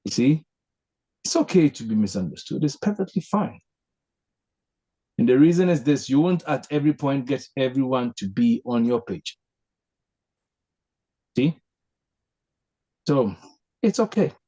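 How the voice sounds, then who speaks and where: A man hosting a reflective spiritual discussion over a video call, earnest and posing long searching questions with many pauses. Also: mid-range voice, expressive, mellow, breathy edge. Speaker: host leading a discussion.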